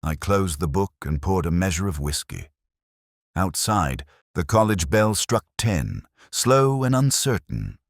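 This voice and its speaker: A man playing a brooding, erudite middle-aged detective who narrates his case in a measured, literary, reflective way, as scripted mystery narration. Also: low-pitched, very animated, no room sound, studio quality, exaggerated voice. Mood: wry, melancholic.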